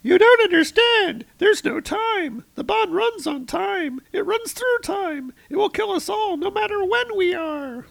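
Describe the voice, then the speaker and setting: A man voicing a panicked green tentacled male god of bombs, shrill and frantic, as he reads a comic aloud. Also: expressive, measured pace, vocal fry, few pauses, fairly bright tone, dry recording, heavily acted.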